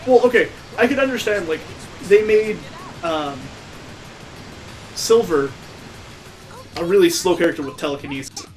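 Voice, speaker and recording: Guy on a lets play, bit high voice, amused and sarcastic, game music behind him. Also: normal speed, long pauses, a little mellow, poor audio. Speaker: let's play video host. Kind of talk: casual gameplay commentary.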